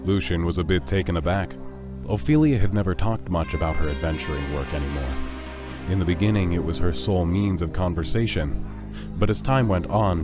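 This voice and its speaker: Low-voiced male story narrator over music. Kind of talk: scripted fantasy story narration.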